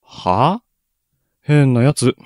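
Young man acting a grumpy lovestruck schoolboy, very animated. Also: mid-range voice, very slow, no room sound, studio quality. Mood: irritated. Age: young adult. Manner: blunt, curt, sulky. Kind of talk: Japanese student radio drama acting.

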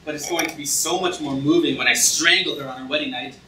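Man playing a bright-voiced scheming prince, echoey hall. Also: fairly high pitch, quick pace. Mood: smug. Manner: theatrical, self-satisfied. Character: smug, scheming, treacherous young prince. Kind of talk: staged reading of a comic play. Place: auditorium stage, recorded from the audience. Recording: echoey hall, far camera, room noise.

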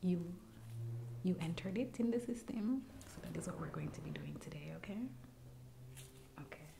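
A woman in her thirties with a Jamaican accent, voicing a rude, impatient barber in a soft-spoken ASMR roleplay, recorded close to a binaural mic in a small room. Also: on the low side, lively intonation, moderate pace, vocal fry, background noise. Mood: curt. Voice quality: soft-spoken, often whispering. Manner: curt and scolding. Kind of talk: ASMR personal attention roleplay. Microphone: close binaural mic, leaning toward the camera.

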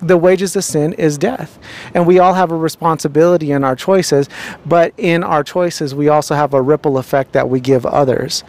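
A man's voice: a little high, steady tone, normal speed, darkish tone. A young man with a handheld mic interviewing people outdoors on a city street, talking earnestly and preachily with many fillers and restarts.

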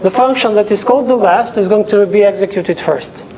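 A man's voice: sits high, a little fast, warm tone, slight background noise, low-quality recording. A young man teaching a class in a lecture room, speaking English as a second language and stopping often to check that students follow. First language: not English. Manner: explains step by step, checks in often with "okay?". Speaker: teaching assistant. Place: lecture room with a projector and whiteboard. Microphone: lapel mic.

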